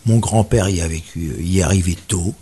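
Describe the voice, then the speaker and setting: A man's voice: low-pitched, brisk, warm tone, slight background noise. A man in his sixties from Paris, a carpenter and author talking in a radio interview, hesitant and self-deprecating with many fillers. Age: 60s.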